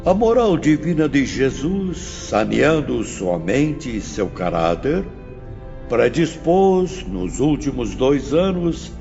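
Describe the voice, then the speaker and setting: Casting: a man playing a stern, learned spirit teacher for audiobook drama; grave, oratorical, mellow and very expressive, in a long echo. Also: medium pitch, gravelly, heavily acted. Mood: solemn, welcoming. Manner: solemn, oratorical, emphatic, measured. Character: stern, learned male spirit teacher lecturing his pupils. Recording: muffled, long reverb, music behind.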